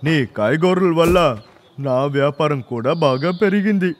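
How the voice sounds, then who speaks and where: A man's voice: on the high side, lively intonation, moderate pace, close to an everyday voice. A man voicing a friendly, appreciative vegetable shopkeeper in a children's cartoon, with a chummy, approving manner. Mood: friendly, pleased. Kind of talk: animated children's story dialogue.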